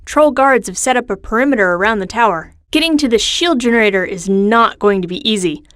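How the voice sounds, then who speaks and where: A woman's voice: a little high, lively intonation, a little fast, a bit bright, no room sound. A confident young adventurer woman guides the mission in a cartoon game. She is sassy, wry and encouraging, recorded close and dry with no room sound. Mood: upbeat, brisk. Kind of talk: video game character acting.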